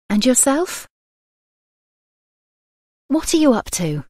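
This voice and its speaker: A woman reading English phrases one at a time for learners, very slow and clear, with a pause after each. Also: mid-range voice, a little mellow, no room sound, studio quality. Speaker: phrase reader.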